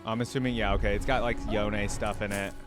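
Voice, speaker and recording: A man with a fairly deep voice hosts a casual reaction. He thinks aloud in short remarks and questions, with music clearly playing behind him. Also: steady tone, quick pace. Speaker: reaction video host. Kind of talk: casual live reaction commentary. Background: game trailer music and audio.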